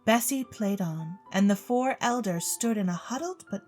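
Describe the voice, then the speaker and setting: A woman reading a story as a theatrical narrator, with expressive, precise literary delivery. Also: mid-range voice, moderate pace. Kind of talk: theatrical scripted storybook narration for an audio drama. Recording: music bed under the voice. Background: music.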